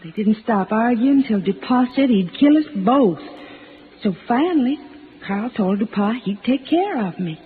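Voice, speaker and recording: A woman's voice: fairly high pitch, expressive, a little slow. Echoing, rustic young woman. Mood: subdued, confessing. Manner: plain rustic grammar, hesitant. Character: frightened young Southern backwoods woman, soon to be married. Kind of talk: 1950s radio drama acting. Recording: old radio, echoing, faint hiss, narrow band.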